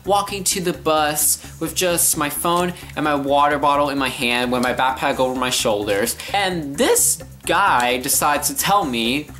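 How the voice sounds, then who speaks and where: A man's voice: on the high side, bright, forceful tone. A young man in his teens or just out of them telling a casual story in an exaggerated, sarcastic way, like a rant to camera. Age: teenager to young adult. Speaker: vlogger telling a personal story. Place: living room, indoors.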